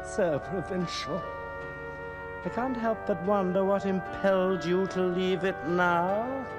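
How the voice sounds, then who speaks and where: An actor playing a grandiose, scheming bishop villain in a video game, with pompous, theatrical delivery and ornate speech. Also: male voice, high-pitched, slow. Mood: smug, mocking. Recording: game dialogue over clear background music.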